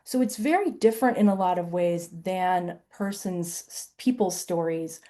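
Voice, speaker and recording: Chatty fifty-something woman, mellow voice. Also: lowish voice, moderate pace. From Southern United States and Illinois. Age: 50s. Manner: chatty, animated, tags points with "right?". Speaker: author and webinar presenter. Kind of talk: conversational webinar Q&A answer.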